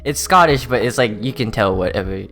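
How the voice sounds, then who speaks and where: A man's voice: mid-range voice, expressive, brisk, fairly bright tone. A man hosting a podcast, talking casually and easily, with plenty of fillers and hesitations. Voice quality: easygoing, filler-heavy, hesitant. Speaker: podcast host. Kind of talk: casual chat.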